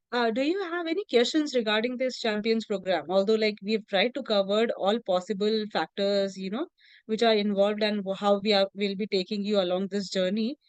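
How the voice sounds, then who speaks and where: A woman hosting a video call, warmly praising answers and moving the session along. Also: mid-range voice, normal speed, clean tone, no room sound. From India. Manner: encouraging, gives praise, loose fillers. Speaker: session host. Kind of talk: casual moderating on a video call.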